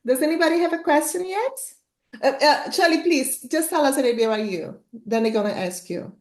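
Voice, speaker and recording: High-voiced woman host, echoey room. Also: expressive, a little fast, a little mellow. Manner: friendly, chatty introductions. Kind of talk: casual interview hosting.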